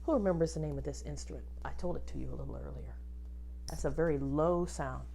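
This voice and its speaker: A woman reading a children's book aloud expressively, like a teacher, pausing to instruct and put questions to young listeners. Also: low voice, huge pitch swings, dark tone, slight background noise. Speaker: music teacher reading a picture book to pupils.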